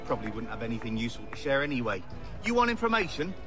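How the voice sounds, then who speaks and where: An actor playing a brusque, dismissive working sailor in a video game, colloquial and impatient. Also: male voice, medium pitch, big pitch swings, rapid-fire, natural voice. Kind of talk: video game cutscene acting. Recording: music behind the dialogue.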